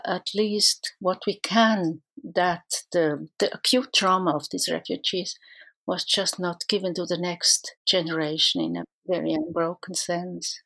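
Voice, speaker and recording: A middle-aged woman with a Swiss accent speaks in a somewhat low voice. She answers interview questions as a psychoanalyst, thoughtful and earnest, often restarting her sentences as she searches for words. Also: fairly even intonation, a little slow, creaky, a bit bright, low-quality recording. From Switzerland.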